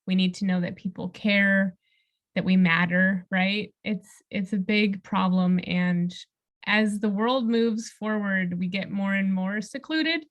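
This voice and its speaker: Female podcast co-host, conversational delivery, medium pitch. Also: a little slow, highs cut off.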